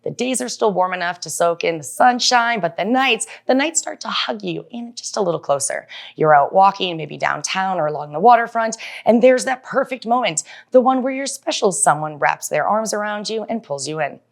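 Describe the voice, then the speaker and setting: A woman's voice: mid-range voice, lively intonation, moderate pace, creaky, few pauses. A woman hosting a show, delivering a scripted monologue in a playful, theatrical hype style. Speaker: show host.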